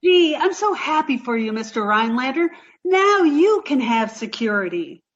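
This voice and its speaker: A woman playing a high-pitched, ditzy young American sweetheart. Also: very animated, moderate pace, lo-fi sound. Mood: sweetly pleased. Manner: guileless, earnest, scatterbrained. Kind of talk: old-time radio sitcom acting.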